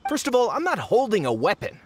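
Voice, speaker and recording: A man's voice: high voice, huge pitch swings, a little fast, slight background noise. An actor playing a slick, talkative, pushy male researcher in a video game, with a self-important manner. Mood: ingratiating. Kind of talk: scripted game dialogue.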